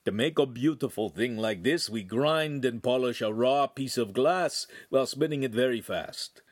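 A man voicing an old, eager male master craftsman who speaks slow, emphatic broken English. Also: mid-range voice, no echo, studio quality, lightly acted. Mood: serious.

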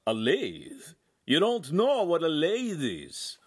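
A man voicing an old, eager male master craftsman who speaks slow, emphatic broken English. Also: fairly high pitch, very animated, no room sound, studio quality, voice pushed up. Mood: excited.

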